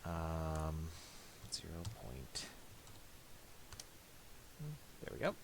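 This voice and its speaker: A man talking through a software tutorial casually, thinking aloud in a hesitant, piecemeal way. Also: rumbling low. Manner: hesitant, halting, piecemeal delivery. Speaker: tutorial presenter. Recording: steady hiss and clicking noise.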